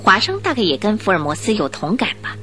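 Expressive woman narrating a radio drama. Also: medium pitch, fast talker, faint music behind. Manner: expressive storyteller phrasing.

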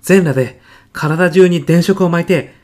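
A man playing an earnest physicist in an audio comedy sketch, speaking formally and reverently of his mentor with deadpan, grandiose solemnity. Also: fairly high pitch, measured pace, close to an everyday voice. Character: earnest, reverent male physicist, devoted protégé of late professor.

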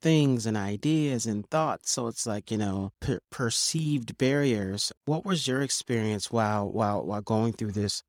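A man's voice: lowish voice, measured pace, few pauses, dry recording, clean studio sound. A man hosting a podcast interview, asking long, rambling questions full of fillers and restarts. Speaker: podcast host.

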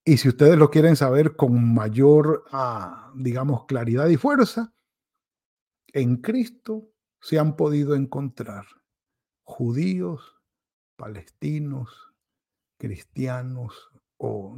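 A male pastor preaching a devotional sermon, who pauses and restarts often and mimics people's excuses in quick quoted asides. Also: medium pitch, vocal fry, warm tone. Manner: pauses and restarts, self-corrects.

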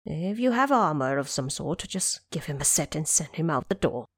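An old dragon king acted out by a woman in an audio drama, fretful and eager to placate. The speech is courteous and hesitant, with wide swings of pitch. It is recorded very dry. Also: very animated, normal speed, slightly rough, dry recording, heavily acted. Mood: weary warning. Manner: pleading, hesitant, deferential.

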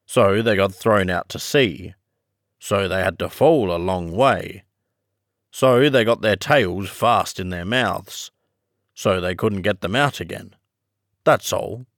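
A man voicing a gruff, impatient and solemn male griffin in a children's storybook, with a brusque, matter-of-fact manner. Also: low voice, takes it slowly, fairly bright tone, no echo, clean studio sound, full character voice.

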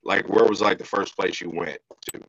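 A man with a low-pitched voice and plenty of fry hosts a casual interview. He talks informally, with slang and teasing asides. The recording is dry and a little muffled. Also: fairly even intonation, normal speed, noisy background. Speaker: podcast host. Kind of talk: casual joking interview chat.

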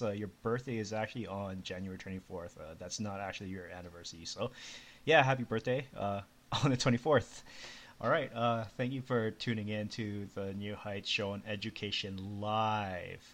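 Chatty low-voiced male host. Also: steady tone, moderate pace, a little hiss or hum. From Canada. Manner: chatty, many fillers and small laughs. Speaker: podcast host. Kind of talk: casual live radio chat. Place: home, at the computer.

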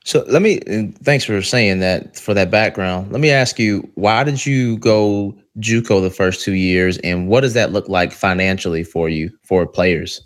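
A man's voice: fairly low pitch, a little slow, few pauses. A man hosting a podcast who opens with an upbeat, welcoming intro and then interviews his guest. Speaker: podcast host.

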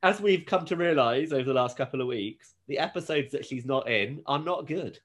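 A man's voice: medium pitch, lively intonation, a little fast. A British man hosting a podcast, chatting casually and with amusement as he recaps a TV show. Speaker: podcast host.

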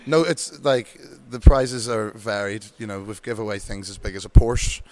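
A man with an Irish accent chatting casually in an interview, relaxed and rambling with many ums and restarts. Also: fairly low pitch, moderate pace. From Ireland. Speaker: bingo show host, guest.

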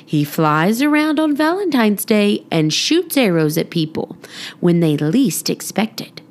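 A woman's voice: mid-range voice, very animated, unhurried. A woman voicing a playful, patient father in a storybook, wry as he explains things. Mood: playfully explaining.

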